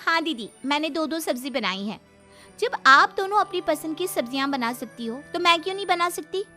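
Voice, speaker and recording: A woman's voice: high voice, lively intonation, a little fast, bright, forceful tone, soft background music. A woman playing a defiant, quarrelsome young daughter-in-law in a cartoon family story, argumentative and indignant.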